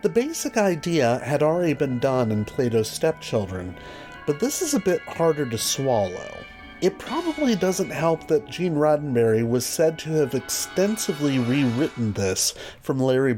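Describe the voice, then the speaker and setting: A man presenting a scripted video review as host, with wry, dry asides. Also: medium pitch. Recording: music behind the voice. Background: background music.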